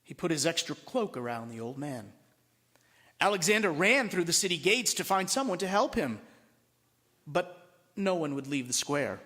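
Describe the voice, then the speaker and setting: Animated high-pitched man reading in echoing hall. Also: moderate pace, long pauses. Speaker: priest reading a story aloud. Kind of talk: expressive storybook narration to listeners. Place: large church at a Mass. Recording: long reverberant hall.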